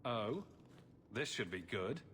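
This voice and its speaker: A man's voice: medium pitch, expressive, takes it slowly, fairly bright tone, slight background noise. An actor playing a wry, skeptical male adventurer in a fantasy video game, blunt and sardonic. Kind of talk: video game dialogue acting.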